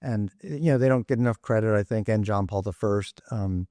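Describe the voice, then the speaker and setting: Thoughtful low-voiced man, halting. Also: dark tone, dry recording, studio quality. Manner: thoughtful, halting, many restarts. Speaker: podcast panelist. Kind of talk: casual discussion. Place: studio in Rome.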